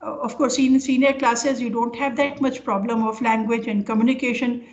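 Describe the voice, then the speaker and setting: Older indian lady prof doing an online lecture, kinda high voice, halting and explainy, muffled lo-fi call sound. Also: steady tone, darkish tone, slight background noise. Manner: hesitant, halting, explanatory with personal examples. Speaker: professor giving an online lecture. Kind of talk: informal academic lecture. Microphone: video call. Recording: dull, lo-fi online call audio.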